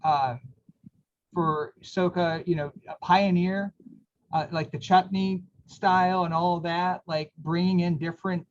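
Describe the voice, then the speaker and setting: A man's voice: high-pitched, steady tone, a little slow, highs cut off. A man hosting a radio interview, asking questions and reacting with enthusiastic short affirmations. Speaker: radio show host interviewer. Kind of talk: casual interview.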